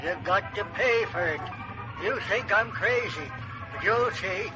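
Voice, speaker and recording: An eerie, accusing railroad phantom, speaks in a high, drawn-out, ominous voice. It is old-time radio horror, muffled, with music underneath. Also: male voice. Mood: ominous taunting. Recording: dull vintage radio, music behind.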